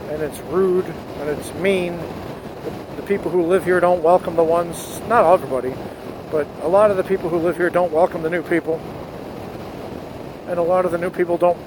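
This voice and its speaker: A man from the United States giving an earnest spoken essay while riding his motorcycle, speaking into a helmet headset mic. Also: sits high. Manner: earnest, rising to patriotic fervor. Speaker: motovlogger. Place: on a motorcycle outdoors. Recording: wind noise on helmet mic.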